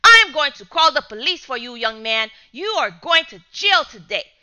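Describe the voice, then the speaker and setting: Woman doing a shouting furious dad. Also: very high voice, expressive, normal speed, voice pushed up, full character voice. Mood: angry. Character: angry middle-aged father, shouting and stern.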